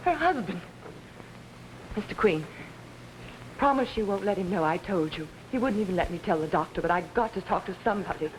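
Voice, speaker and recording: An actress playing an ambitious, manipulative young pianist in early 1950s television drama, pleading in a melodramatic way. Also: female voice, medium pitch, animated, a little fast, pauses often, lightly acted. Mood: anxious pleading. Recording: noisy old 1950s TV soundtrack.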